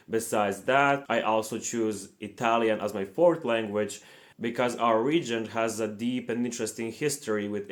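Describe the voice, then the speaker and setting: A young man from Montenegro, in English, hosting a scripted, earnest explainer on learning languages. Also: fairly low pitch. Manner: earnest, plain, slightly formal non-native phrasing. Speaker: language-learning channel host.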